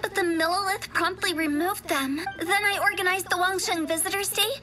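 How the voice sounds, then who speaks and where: A quirky, eager young parlor director for a video game. Her voice sits very high and she pitches like a chirpy saleswoman. Clear background noise runs underneath. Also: steady tone, moderate pace. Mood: cheerful, proud. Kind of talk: English video game voice acting.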